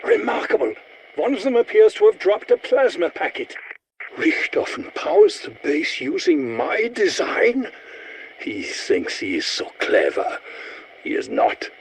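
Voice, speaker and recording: An actor playing an eccentric, vain older German scientist in a video game, with a German accent and a precise, pompous, theatrical delivery. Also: male voice, high-pitched, huge pitch swings, a little slow, gravelly, a little hiss or hum, exaggerated voice, poor audio. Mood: agitated, urgent, then indignant, taunting. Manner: theatrical, precise, pompous, old-fashioned wording. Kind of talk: video game character voice lines.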